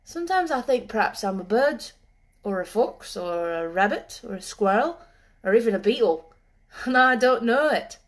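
A woman's voice: fairly high pitch, lively intonation, moderate pace, close to an everyday voice. A woman voicing a cheerful, friendly moorland boy in a storybook, with a Yorkshire accent and easy, earthy dialect speech. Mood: warm, easy.

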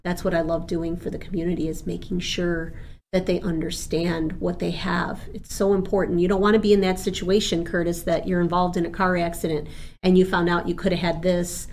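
Upbeat, lower-pitched insurance agent woman. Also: a little fast, a little hiss or hum. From Argentina. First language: Spanish. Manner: lively, talkative, laughs easily. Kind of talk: interview guest, chatty and upbeat.

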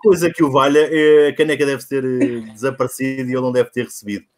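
A man's voice: mid-range voice, level tone, fast. A man hosting a casual live-stream chat, joking at his own slips, with many fillers. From Portugal. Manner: chatty and self-mocking, many fillers. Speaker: podcast host.

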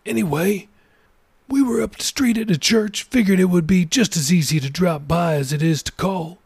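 A male narrator reads an older pastor with a raised, gravelly, husky voice. He counsels a couple in a gentle, measured way, like a kindly elder in a storybook reading, recorded dry and close. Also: sits high, dry recording, voice pushed up, heavily acted. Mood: counseling.

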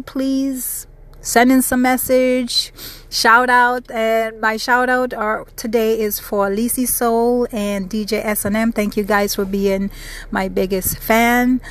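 A woman from the Caribbean hosting her own casual podcast, chatty and earnest, repeating phrases for emphasis. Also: on the high side. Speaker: podcast host. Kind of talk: casual solo podcast monologue. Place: South Florida.

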